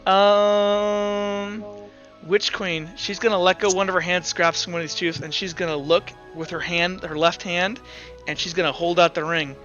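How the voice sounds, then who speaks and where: Dull lo-fi audio, guy with a high bright voice game mastering, stumbles and repeats, music behind. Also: level tone. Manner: halting, repeats words while improvising. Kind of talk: improvised narration of a tabletop roleplaying game. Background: background music.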